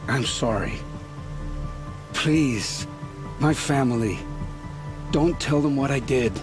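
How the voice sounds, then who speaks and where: A male actor as a broken, guilt-ridden man who speaks gravely and with resignation, pleading for his family. His voice sits at an ordinary male pitch in a dramatic game scene, with faint music underneath. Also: slow pace, close to an everyday voice. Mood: resigned, pleading. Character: remorseful condemned man, coerced accomplice who loves his family. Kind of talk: dramatic video game acting.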